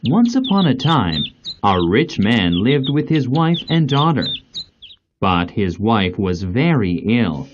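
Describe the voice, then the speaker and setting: A man reading a scripted fairy tale as storyteller, in a slow, deliberate, expressive way. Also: medium pitch, highs cut off, slight background noise.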